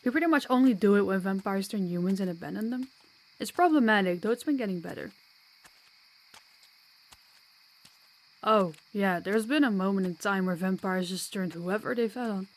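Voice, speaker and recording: A woman's voice: mid-range voice, fairly quick, lightly acted, poor audio. Female vampire guide voiced by a woman, mid-range voice, chatty, kind and teasing, for an audio roleplay. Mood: friendly, explanatory. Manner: chatty, reassuring, playful.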